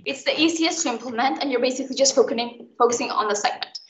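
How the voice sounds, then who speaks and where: A woman's voice: high voice, fast, background noise. A young woman from Mexico teaching an informal online lesson, chatty and explaining with everyday examples. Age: young adult. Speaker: student tutor leading an exam recap. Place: indoors, online video call. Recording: muffled, roomy online call recording.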